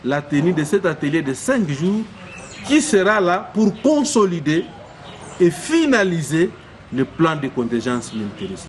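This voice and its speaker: A man, an official, giving a formal statement deliberately. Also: a little high, very animated, a little hiss or hum.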